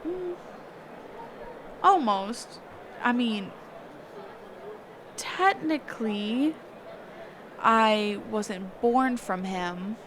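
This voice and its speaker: A woman's voice: a little high, expressive, very slow, long pauses, a bit bright, slight background noise, full character voice. A woman playing a kind, reclusive magic teacher in an intimate roleplay audio, speaking hesitantly, flustered and trailing off. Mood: wistful. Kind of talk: intimate character roleplay audio, one-sided conversation.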